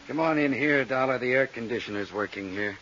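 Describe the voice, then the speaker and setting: A man playing a big, easygoing American accountant in his late forties in a 1950s radio drama, husky and chatty, with a folksy, rambling manner. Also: medium pitch, fairly quick, a bit bright, a little hiss or hum, lightly acted. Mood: wry, amiable. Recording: dull, muffled 1950s radio recording.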